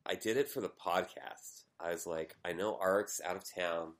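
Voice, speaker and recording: A man with a low voice co-hosting a podcast in casual conversation. He rambles through long stretches of commentary, with fillers and a self-deprecating tone. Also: steady tone, normal speed, fairly bright tone. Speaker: podcast co-host. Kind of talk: casual chat.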